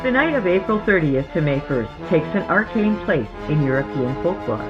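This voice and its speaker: A podcast host giving scripted horror narration in a theatrical, measured storytelling manner. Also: medium pitch, very animated. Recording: muffled lo-fi sound under music. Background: background music.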